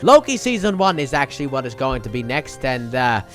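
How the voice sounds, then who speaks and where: A man's voice: medium pitch, big pitch swings, a little fast. A man giving a casual, conversational movie review with emphatic, opinionated delivery. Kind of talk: casual video review commentary. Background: music under the voice.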